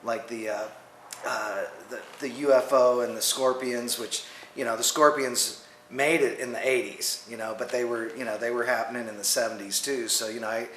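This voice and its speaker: An American man from Texas, a musician talking casually and haltingly in a band interview, often repeating himself. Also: medium pitch, fairly even intonation, a bit bright. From Dallas, Texas, USA. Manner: rambling, halting, repeats words. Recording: roomy echo, faint noise.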